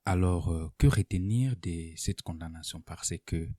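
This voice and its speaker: Male political analyst for online video: very low, warm voice, unscripted and deliberate, pausing as he explains. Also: dry recording, clean studio sound.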